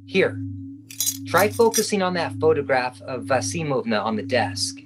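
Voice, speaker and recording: A man's voice: medium pitch, sing-song, moderate pace, natural voice. Guy playing curious american agent, radio drama, earnest, medium voice, noisy audio. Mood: urgent. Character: earnest male government agent, curious investigator.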